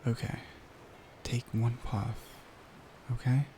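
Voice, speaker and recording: A man playing a caring, worried boyfriend in an intimate ASMR roleplay, speaking softly and soothingly, often near a whisper. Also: medium pitch, little pitch movement, slow pace, slight background noise, lightly acted. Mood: anxious, soothing. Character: caring, worried young boyfriend comforting his partner.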